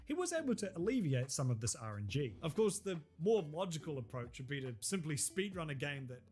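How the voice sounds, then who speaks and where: A man with a head cold and a nasal voice, delivering scripted video narration with wry, deadpan humor. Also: a little high, fairly quick.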